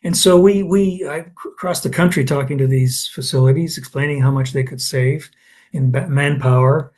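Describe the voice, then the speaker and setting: A man's voice: mid-range voice, steady tone, moderate pace, a little mellow. An older man from Canada in an oral history interview, telling anecdotes with many restarts and fillers.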